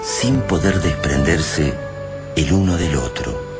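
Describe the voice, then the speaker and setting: Storyteller, Argentine accent, deep bass register, solemn literary delivery, radio drama, clear musical backing. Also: male voice, lively intonation, takes it slowly, loud music behind the voice, full character voice. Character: solemn literary male narrator of a science fiction tale. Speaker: narrator.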